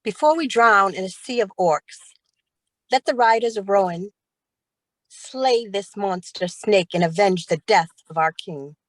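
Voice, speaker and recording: A woman as a slow, solemn warrior horseman. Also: medium pitch, expressive, no echo, rough recording. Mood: solemn resolve. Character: young male warrior horseman, grieving and resolute. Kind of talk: amateur table read of a film script.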